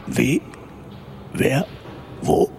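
An actor playing a huge, drowsy, grumpy old owl professor with a creaky, rasping, breathy voice in a children's radio play. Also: male voice, a little mellow, slight background noise. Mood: drowsy, startled. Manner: slow, drawn-out, muttering, hooting interjections.

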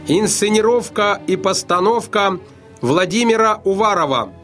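Radio drama announcer: man, fairly high voice, formal scripted credits with music behind. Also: a bit bright.

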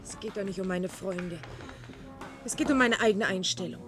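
An actress playing an exhausted, pleading wife in a German science-fiction radio drama, speaking with weary, emotional reproach. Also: female voice, medium pitch, moderate pace, soft background music, lightly acted. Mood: weary, reproachful. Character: exhausted, pleading young wife in a dystopian future.

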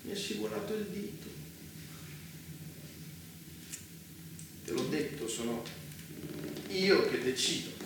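An actor playing a ruthless, self-righteous warlord in a dystopian stage drama, speaking in grandiose, taunting phrases with dramatic pauses. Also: male voice, high voice, lively intonation, gravelly. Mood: coldly resolute. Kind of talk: intense theatrical stage acting. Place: theatre stage, recorded from afar. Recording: echoey theatre, distant mic, loud noise.

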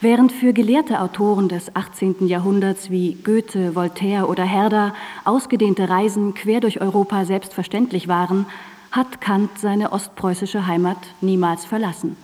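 A woman's voice: medium pitch, steady tone, normal speed, dark tone, in a large hall. A woman reads scripted narration for a radio drama in a composed, formal and precise manner.

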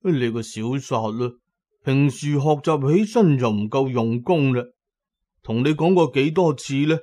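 A man plays a strict, serious teacher with a Cantonese accent in an audio drama. He is loud and reproving, telling off a pupil. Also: medium pitch, lively intonation, measured pace. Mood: scolding. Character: stern, scolding male archaeology teacher, strict and serious.

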